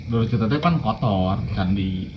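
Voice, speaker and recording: Low-voiced man, muffled. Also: expressive, moderate pace. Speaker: friend at the gathering. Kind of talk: casual chat among friends. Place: outdoor porch at night. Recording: muffled camera audio, loud noise.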